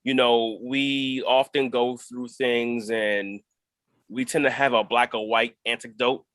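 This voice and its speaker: A man who acts on stage, answering reflectively in an interview, measured and thoughtful, pausing mid-phrase. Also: medium pitch, level tone, fairly bright tone, no room sound. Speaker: stage actor.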